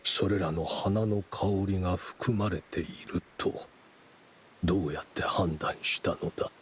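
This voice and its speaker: A man voicing a solemn, proud and resigned older mentor with measured, formal speech in a dramatic anime game dub. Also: low-pitched, a little hiss or hum. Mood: grave questioning.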